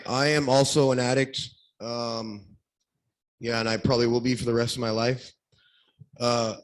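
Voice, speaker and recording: A man's voice: mid-range voice, rough recording. A man giving an informal personal testimony to a group, feeling his way through it in a hesitant, reflective manner.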